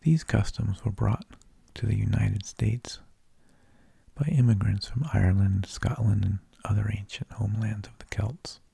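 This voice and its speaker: A man whispering an ASMR narration in a hushed, breathy, gravelly voice, unhurried, with drawn-out phrases and pauses, close to the microphone. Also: lowish voice, no room sound. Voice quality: breathy, mostly unvoiced whisper with gravelly creak. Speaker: ASMR host.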